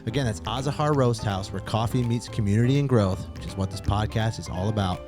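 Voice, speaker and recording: A man's voice: lowish voice, brisk, over music. A man hosting a podcast from a small studio, reading an upbeat introduction and then chatting casually and laughing easily with his guest. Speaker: podcast host. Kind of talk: read sponsor intro, then casual chat.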